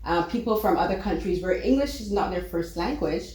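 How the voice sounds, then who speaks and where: A woman's voice: on the low side, a little fast. Chatty woman interviewer in an echoey room. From Barbados. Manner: chatty, animated, many fillers. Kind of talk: casual interview.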